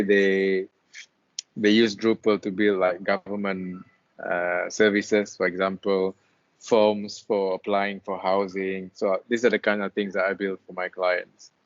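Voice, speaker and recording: Low-voiced man on a dull call line. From Malaysia. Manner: relaxed, hesitant with many restarts. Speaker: guest, freelance web developer. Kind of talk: casual interview chat. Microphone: video call.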